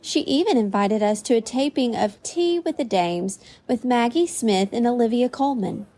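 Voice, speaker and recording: A woman's voice: fairly high pitch, normal speed. A woman playing a female AI voice assistant, speaking politely and evenly. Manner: polite, even, informative. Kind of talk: comedy podcast sketch.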